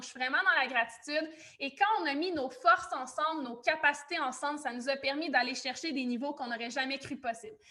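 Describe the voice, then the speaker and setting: Bright, high-voiced Québécois woman presenting. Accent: Québécois French. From Quebec, Canada. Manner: effusive, welcoming, informal. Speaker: webinar host and business coach. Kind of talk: informal online webinar presentation to an audience.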